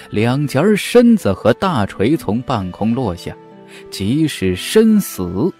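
A man narrating a fantasy novel audiobook in an animated, dramatic storytelling manner. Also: mid-range voice.

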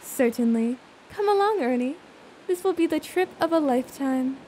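A woman's voice: sits high, lively intonation, normal speed, dark tone, slight background noise, rough recording. A woman playing a smug, sly genie in an audio drama, poised, formal and teasing. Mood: serene, teasing. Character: smug, sly female genie, calm and worldly. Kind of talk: audio theater acting.

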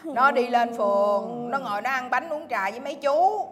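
A woman's voice: a little high, normal speed, long reverb tail, background noise, natural voice. An actress playing a friend who coaxes and soothes a crying woman. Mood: soothing. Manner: coaxing, soothing.

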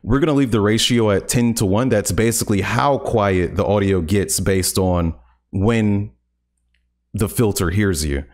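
A man in his thirties hosting a casual, upbeat streaming tutorial, joking as he explains, recorded at home on a studio mic on a boom arm. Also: a little slow, darkish tone. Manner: upbeat, playful, joking asides. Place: home streaming room, indoors. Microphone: large studio condenser on boom arm.

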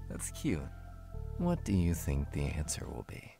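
Woman voicing a very deep, menacing male kidnapper. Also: creaky, dark tone, exaggerated voice. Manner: slow, taunting threats. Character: menacing, condescending male kidnapper, cold and amused.

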